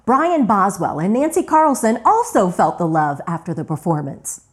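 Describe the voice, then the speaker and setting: A woman's voice: mid-range voice, big pitch swings, moderate pace. A woman narrating a scripted radio documentary.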